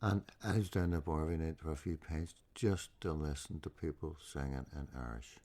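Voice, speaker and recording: A man's voice: rumbling low, a little fast, mellow. A man hosting a podcast, telling anecdotes bluntly and swearing freely. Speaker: podcast host. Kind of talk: casual conversation.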